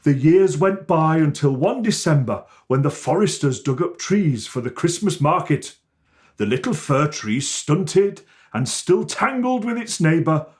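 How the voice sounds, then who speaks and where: Casting: male Father Christmas storyteller, very unhurried, theatrical, with widely varied intonation for children. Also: on the high side. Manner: theatrical, deliberate, expressive phrasing.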